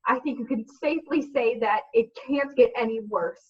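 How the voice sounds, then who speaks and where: A woman's voice: fairly high pitch, moderate pace, breathy edge, pitched below the performer's own voice. A woman playing an exhausted, frantic single mother in a comedic radio play, with melodramatic exasperation. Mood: weary despair. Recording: dull, distant mic.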